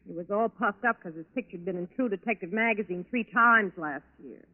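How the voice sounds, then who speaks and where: An actress playing a brash, slangy, defensive nightclub singer in a 1940s radio crime drama. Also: female voice, mid-range voice, fairly quick, natural voice. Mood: brash, candid. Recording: tinny, band-limited 1940s radio recording.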